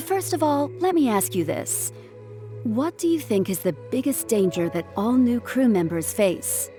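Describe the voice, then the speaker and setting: An actress playing a composed, caring ship's surgeon in a video game, explaining things in a measured, polite, cautioning way. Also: female voice, mid-range voice, a little mellow, natural voice. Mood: composed, welcoming. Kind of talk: scripted video game dialogue.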